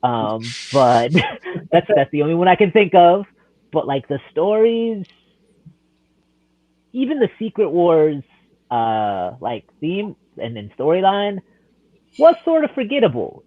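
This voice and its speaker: A man's voice: sits high, very animated, measured pace, dry recording. A man co-hosting a casual podcast, talking in a hesitant, stammering way. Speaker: podcast co-host. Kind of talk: casual chat. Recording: dry tinny phone-like line.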